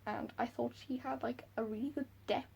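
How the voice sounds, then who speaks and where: Giggly Welsh woman with gravelly voice. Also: a little high, steady tone, brisk, darkish tone. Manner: giggly, chatty, self-deprecating. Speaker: book vlogger. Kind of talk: casual chat to camera. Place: room at home by a window.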